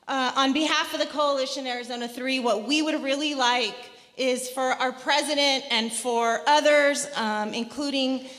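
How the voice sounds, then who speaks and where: A woman assistant professor giving an earnest public comment at a campus forum, pausing with fillers. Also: sits high, fairly even intonation, a bit bright. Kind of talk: public comment at a town hall. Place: large indoor hall at a public forum. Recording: large hall with crowd noise.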